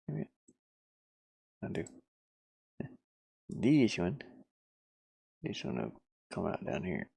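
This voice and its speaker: Man, gravelly low voice, unhurried, muttered fragments, casual game developer commentary, dry close sound. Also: wildly swooping pitch, mellow, no echo. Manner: muttering to himself in short fragments. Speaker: solo indie game developer. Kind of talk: casual think-aloud screen-recording commentary.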